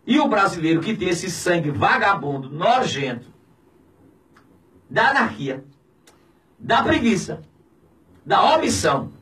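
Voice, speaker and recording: A man's voice: big pitch swings, measured pace, pauses often, recorded from a distance, rough recording. Fiery Brazilian pastor in his fifties. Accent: Brazilian Portuguese. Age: 50s. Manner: indignant, preaching, alternately shouting and dropping low. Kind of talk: impassioned radio commentary. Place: small radio studio. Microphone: studio mic on a boom, filmed from a distance.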